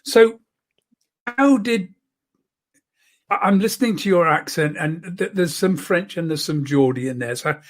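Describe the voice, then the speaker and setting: An older woman from Britain hosts a relaxed online interview. The voice sits fairly low for a woman, and the delivery is halting, with repeated words and small chuckles. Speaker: show host. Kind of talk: casual video interview.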